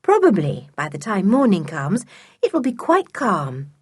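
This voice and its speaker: A woman's voice: medium pitch, wildly swooping pitch, measured pace, husky, dry recording, studio quality, exaggerated voice. A woman voicing a tender, fretful aunt in a children's storybook. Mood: soothing. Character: gentle, anxious aunt, adult woman.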